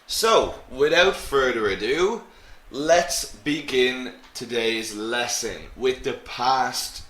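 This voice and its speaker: A man teaching an English grammar lesson to students in a slow, clear way. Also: medium pitch, fairly bright tone, reverberant.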